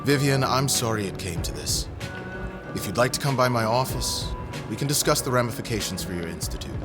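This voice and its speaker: A man's voice: mid-range voice, expressive, lightly acted. A man playing a pompous, stern vice chancellor of a scholars' guild in an audio drama, speaking formally over music. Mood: quieter, regretful. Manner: pompous, formal, measured delivery. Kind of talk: scripted audio drama acting. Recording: music behind the voice.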